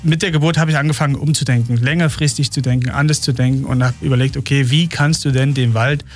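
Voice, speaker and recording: Relaxed man with a Thuringian accent. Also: mid-range voice, quick pace. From Thuringia, Germany. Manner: relaxed, hesitant with fillers. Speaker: interviewed guest. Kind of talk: casual interview answers.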